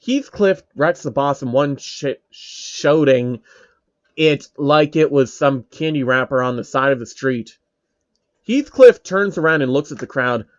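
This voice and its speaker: A man's voice: mid-range voice, lively intonation, measured pace, no echo, lo-fi sound. A man narrating a comedic story in a casual, irreverent way, with offhand asides.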